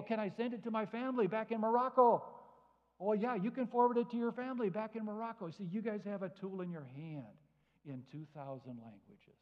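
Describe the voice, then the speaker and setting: A man preaching to a church, animated and acting out both sides of everyday conversations. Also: on the high side. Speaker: guest speaker. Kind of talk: sermon with acted-out anecdotes. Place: church hall. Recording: dull sound in a reverberant hall.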